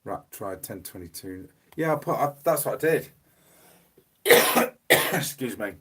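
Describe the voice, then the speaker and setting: A man hosting a casual livestream from his phone, hoarse from losing his voice, rambling and repeating words as he reads comments. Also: on the low side, animated, unhurried, background noise. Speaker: livestream host. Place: small home room. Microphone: mobile phone.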